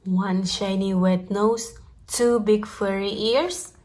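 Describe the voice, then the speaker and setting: A woman reading a children's picture book aloud in an expressive, chant-like storytime style, drawing out her words. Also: medium pitch. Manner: deliberate, chant-like delivery with drawn-out words.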